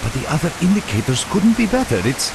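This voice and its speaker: An actor playing a learned scientist in a video game, speaking in formal, precise and wordy phrases. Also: male voice, fairly high pitch, sing-song, quick pace. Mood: amazed, puzzled. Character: astonished, learned male scientist-doctor. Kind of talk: video game character acting. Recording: hissy, noisy ambience behind.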